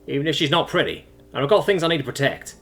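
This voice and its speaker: A man's voice: on the high side, expressive, quick pace, a bit bright, soft background music. A man voicing a brazen, half-crazy spaceship owner with a blunt, bargaining manner in an audiobook. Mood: defensive.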